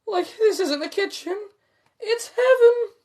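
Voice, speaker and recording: A goofy young slacker, pitched way up high and whiny. He is cowardly and always hungry, drawling excitably in a storybook cartoon style. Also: takes it slowly, full character voice. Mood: delighted. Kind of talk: cartoon voice in live storybook reading.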